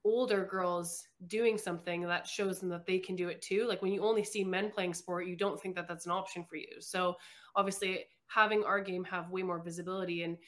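A woman's voice: on the low side, fairly even intonation, fairly quick. A young woman from Canada, a guest chatting casually in an interview, with many "like" fillers.

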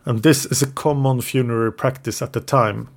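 English-speaking man, podcast co-host, mid-pitched, narrates history from notes with the odd hesitation. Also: fairly even intonation, brisk. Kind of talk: casual history podcast storytelling.

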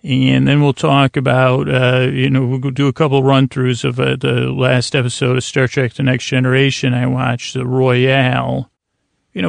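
A man with a creaky voice hosting a sleep podcast, rambling gently and haltingly through a soothing, meandering bedtime monologue. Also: medium pitch, no room sound. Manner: meandering, halting, repeats and trails off into tangents. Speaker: podcast host. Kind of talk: rambling bedtime monologue to help listeners fall asleep.

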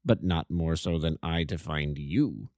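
A man reading a story aloud, voicing a calm, masterful detective who speaks in a precise, definite way. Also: a bass voice, measured pace, no echo, studio quality, pitched below the performer's own voice. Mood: assured.

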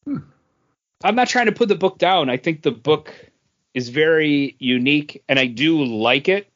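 A man's voice: fairly high pitch, expressive, moderate pace, fairly bright tone. A man talking casually and bluntly as a podcast panelist giving a review. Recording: dull, lo-fi audio.

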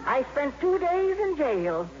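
A proud, no-nonsense grandmother, with big swings of pitch and emphatic delivery. It is 1950s radio comedy acting, muffled with a little background noise. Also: big pitch swings, takes it slowly, a little mellow, exaggerated voice. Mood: proud, indignant. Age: older. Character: feisty, proud old grandmother, staunch former suffragette. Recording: dull, noisy vintage radio broadcast.

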